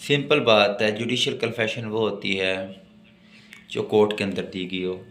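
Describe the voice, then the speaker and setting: A man with a Pakistani accent giving a law lecture, reading out legal text deliberately and then explaining it. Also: lowish voice, a bit bright, slight background noise. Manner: alternates slow deliberate reading with plainer explanation. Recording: echoey, reverberant room sound.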